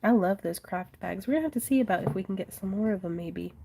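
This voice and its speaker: A woman's voice: mid-range voice, fast talker, vocal fry, very noisy. A woman hosting a crafting livestream, chatting casually and reading viewer comments aloud, congested and losing her voice with a sinus infection. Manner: chatty, reads viewer comments aloud, frequent soft laughs.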